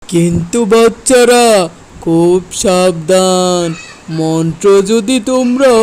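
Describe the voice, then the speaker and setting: A woman voicing a patient village healer in a children's cartoon, cautioning and deliberate in manner. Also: fairly low pitch, darkish tone. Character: patient village healer teaching magic spells. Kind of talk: children's cartoon story voice acting.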